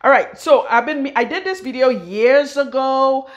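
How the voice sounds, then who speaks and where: Trinidadian woman, middle-aged, fairly high voice, blunt and forceful, salon tutorial. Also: a little slow. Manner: blunt, forceful, frank, swears freely. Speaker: loctician. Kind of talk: casual hair-care tutorial.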